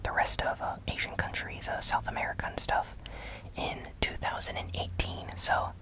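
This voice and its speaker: An ASMR host giving a gaming news recap in a breathy, unvoiced whisper with soft, deliberate pauses.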